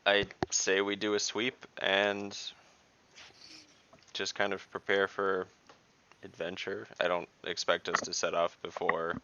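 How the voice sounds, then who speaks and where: Male tabletop player, very low and fry-heavy with an edge, dry practical delivery, slightly muffled call audio. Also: monotone, takes it slowly, pushed and bright, a little hiss or hum. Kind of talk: casual in-game planning talk.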